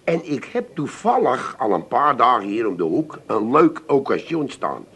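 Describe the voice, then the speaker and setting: Slick used-car salesman, wildly animated. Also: mid-range voice, husky, exaggerated voice. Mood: smooth selling. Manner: fast-talking sales patter, brazenly glib. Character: slick, pushy male used-car salesman, shamelessly upbeat. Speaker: actor in radio play. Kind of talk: 1980s comic radio play acting.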